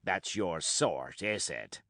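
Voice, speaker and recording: A man voicing a crafty older one-legged pirate cook who speaks in a low, gruff, commanding way. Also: expressive, slow pace, pushed and bright, no echo, studio quality, exaggerated voice. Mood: defiant.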